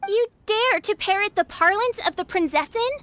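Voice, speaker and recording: A woman's voice: very high-pitched, moderate pace, fairly bright tone. A woman voicing a grandiose young self-proclaimed princess who speaks in theatrical, archaic phrases. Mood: haughty. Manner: theatrical, archaic and pompous speech. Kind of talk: video game voice acting.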